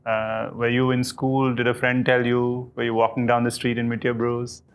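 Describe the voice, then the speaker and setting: An interviewer asking gentle, encouraging questions. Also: medium pitch, completely flat delivery, moderate pace.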